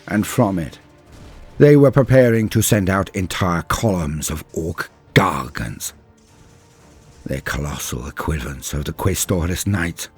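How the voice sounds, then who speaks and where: A solemn, embittered superhuman warrior acted by a male narrator recounts battle in theatrical first person. The voice is low and husky, swinging widely between hushed gravity and proud defiance. Pauses fall heavily, as in a dramatic audio story. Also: lively intonation, soft background music, heavily acted. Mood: grave, bitter. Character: grave, embittered male superhuman space-marine veteran recounting a massacre.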